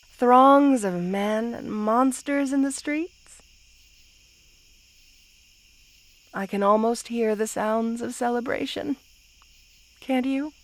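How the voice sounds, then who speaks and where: A woman's voice: medium pitch, close to an everyday voice. A woman playing a possessive, lovesick snake queen in an intimate roleplay ASMR, speaking tenderly with sighs. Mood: dreamy, musing. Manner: slow, tender, sighing, possessive delivery.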